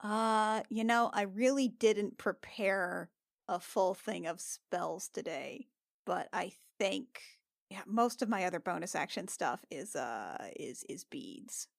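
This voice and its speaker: A woman narrating her moves casually as a player in a tabletop game, halting and thinking aloud, with some swearing. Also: mid-range voice, gravelly, no room sound, clean studio sound. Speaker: player in a tabletop RPG podcast.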